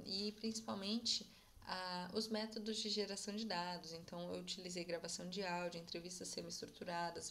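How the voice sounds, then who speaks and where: A young woman giving an academic conference presentation, explaining in a measured way and often checking in with her listeners. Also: on the low side, creaky, a bit bright, a little hiss or hum.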